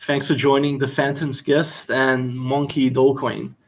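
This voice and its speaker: A young Australian man talks easily through a casual livestream question session. His voice sits at a middle pitch, and his delivery is loose and conversational as he answers questions. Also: measured pace. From Western Australia. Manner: relaxed and chatty, reads viewer questions aloud. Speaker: chef hosting a Q&A livestream. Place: seated indoors.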